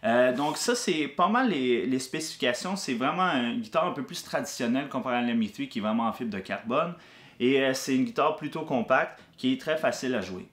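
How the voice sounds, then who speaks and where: A man giving a casual product review of a guitar, relaxed and hesitant with frequent fillers. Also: mid-range voice, fairly quick. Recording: echoey room.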